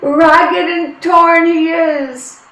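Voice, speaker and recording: A woman's voice: extremely high pitch, steady tone, extremely slow, fairly bright tone, slight background noise, full character voice. A woman acting a timid, anxious young Irish woman in a play reading, hesitant and plaintive, recorded in an echoey room. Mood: tentative, playful.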